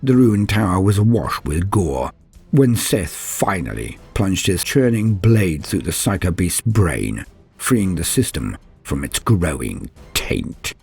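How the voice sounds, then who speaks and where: Husky, low-voiced male dramatic narrator. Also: very animated, slow pace, a little mellow, soft background music. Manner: grave, theatrical storytelling. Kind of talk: dramatic scripted narration of science fiction war lore.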